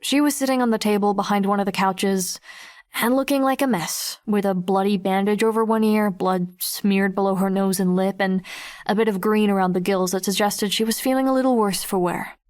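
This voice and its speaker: A woman playing a guarded, observant teenage girl who narrates an audiobook in the first person, reflective and measured. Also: mid-range voice, steady tone, darkish tone, close to an everyday voice. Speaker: narrator and lead character.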